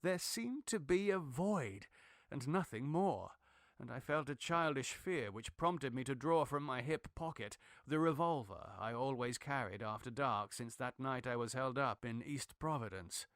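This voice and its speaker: A man's voice: medium pitch, expressive, unhurried, fairly bright tone, no echo. Grave male horror narrator, dry sound. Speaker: narrator, audiobook reader. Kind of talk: dramatic literary horror narration.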